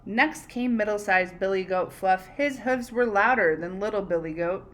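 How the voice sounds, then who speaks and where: A woman teacher reading a storybook aloud to children in an expressive, conversational way, pausing to prompt them with questions. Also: mid-range voice.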